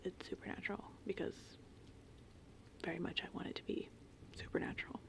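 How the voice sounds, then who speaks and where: A woman doing casual, meandering ASMR in a breathy, whispered and softly spoken voice. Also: fairly low pitch, a little fast. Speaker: ASMR host. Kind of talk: ASMR rambling movie summary. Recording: steady rain and thunder ambience behind. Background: gentle rain and thunderstorm sounds.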